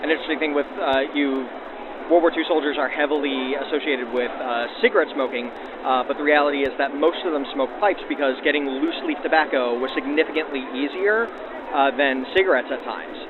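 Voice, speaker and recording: A man interviewed as a war reenactor, giving casual, hesitant answers full of filled pauses, through a 1940s radio filter. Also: medium pitch. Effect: aged 1940s radio filter. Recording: tinny vintage radio sound, clear background noise.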